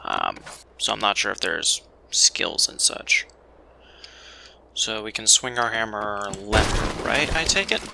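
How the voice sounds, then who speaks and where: A man's voice: fairly low pitch, huge pitch swings, slow pace, creaky. A man giving casual, rambling commentary as he records a video game let's play. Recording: faint background noise.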